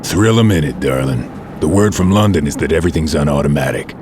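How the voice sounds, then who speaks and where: An actor playing a gruff, dry-humored middle-aged male mutant soldier in an audio drama. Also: rumbling low, animated, fast, noisy background.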